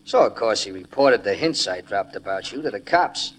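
A man's voice: lowish voice, lively intonation, moderate pace, lightly acted. An actor playing a scheming, cocky gangster with slangy tough-guy talk in a 1940s radio crime drama. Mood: smug, menacing. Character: scheming, cocky male gangster holdup killer. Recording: noisy old radio broadcast recording.

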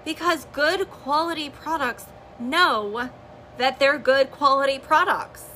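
A woman hosting a podcast, giving emphatic, repetitive business advice straight to her listeners, her voice slightly hoarse. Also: high-pitched, slow, sharp tone, slight background noise. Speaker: podcast host and network marketer. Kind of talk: motivational business talk on a live stream.

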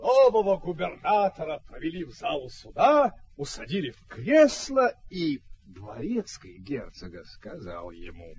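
A genial storyteller in a radio drama. His highish voice swoops widely as he addresses the audience like a theatrical host. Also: huge pitch swings, unhurried, few pauses, a little mellow, a little hiss or hum, heavily acted. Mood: genial storytelling. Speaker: narrator. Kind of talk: radio play narration.

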